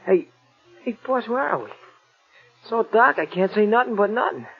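An actor playing a loyal, dim, anxious male sidekick with a husky, stammering, slangy delivery in 1940s radio style. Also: high voice, moderate pace, heavily acted. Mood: dazed, worried. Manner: stammering, repetitive, slangy bad grammar. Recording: muffled old-time radio recording.

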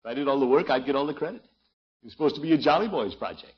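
A man's voice: fairly high pitch, animated, very fast, breathy edge. A man playing a pompous, grumbling middle-aged uncle in an American accent, with blustery, muttering delivery in 1950s radio comedy style. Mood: reluctant. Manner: blustery, muttering, hemming and hawing. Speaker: comic lead actor in radio sitcom. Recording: muffled vintage 1950s radio recording.

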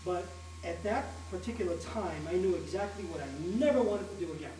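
A man's voice: high-pitched, expressive, a little fast, a crowd in the background. A middle-aged man from the Midwestern United States speaking as an interview guest, telling personal stories conversationally and repeating phrases for emphasis. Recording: echoey, distant mic with music underneath.

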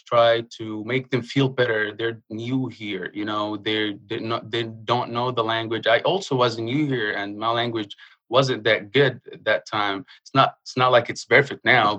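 A young man from Jordan, speaking earnestly in a casual interview and pausing often with fillers. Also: on the low side, steady tone, bright, forceful tone, muffled recording. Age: young adult. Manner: earnest, halting with frequent uh fillers. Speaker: guest.

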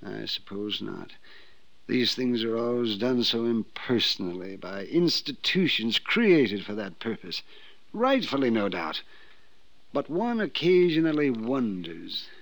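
An actor playing a perturbed, guilt-ridden old gentleman with formal, hesitant phrasing in a 1950s radio drama. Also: medium pitch, very animated, unhurried, vocal fry, dry recording. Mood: resigned, regretful. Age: older. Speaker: supporting actor in a radio detective serial.

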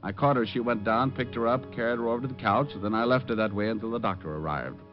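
An actor playing a suave, tough private detective, flippant and quick-witted, in a 1950s radio drama. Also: male voice, medium pitch, soft background music, natural voice. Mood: hard-boiled narration. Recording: muffled vintage radio recording.

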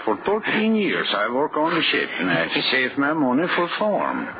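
An actor playing a humble, earnest immigrant sailor who pleads in simple broken English, in 1930s radio drama style. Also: male voice, medium pitch, wildly swooping pitch, normal speed. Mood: wistful. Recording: noisy, muffled old radio recording.

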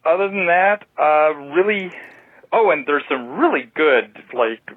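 A man's voice: fairly high pitch, lively intonation, unhurried, husky, no room sound. A man leaving a casual, rambling review as a caller on voicemail, putting his phrases together piece by piece. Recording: dull, lo-fi voicemail phone recording.

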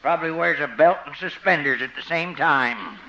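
An actor playing a jolly veterinarian family friend, wisecracking and chuckling in a 1950 radio comedy. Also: male voice, fairly high pitch, moderate pace, pushed and bright. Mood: wry, jolly. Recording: muffled old radio recording.

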